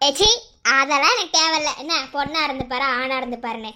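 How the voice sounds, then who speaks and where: A man's voice: very high voice, fast, heavy background noise. A man playing a spurned, vengeful young student in a melodrama, taunting and menacing with sneering questions. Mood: impatient menace. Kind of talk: melodramatic audio drama villain acting.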